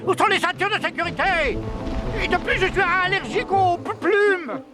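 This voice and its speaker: A man's voice: extremely high pitch, moderate pace. A man voicing a fussy, anxious toy creature with a pedantic, easily flustered manner in a cartoon dub. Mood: nervous. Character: fussy, anxious male toy creature, self-styled expert. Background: background music.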